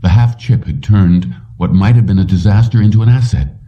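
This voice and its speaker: A man's voice: on the low side, brisk, distant mic. A man reading a fiction audiobook as narrator, in a dull recording that sounds set back from the mic.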